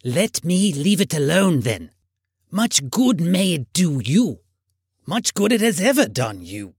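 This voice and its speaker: Storybook miser, elderly male, played by a male narrator: crabby, scornful, stern, animated delivery. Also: a little high, slow pace, breathy edge, no echo, clean studio sound, pitched above the performer's own voice, exaggerated voice. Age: older. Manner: indignant, stern, dismissive. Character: crabby, miserly old uncle, sour and scornful. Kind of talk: storybook character reading.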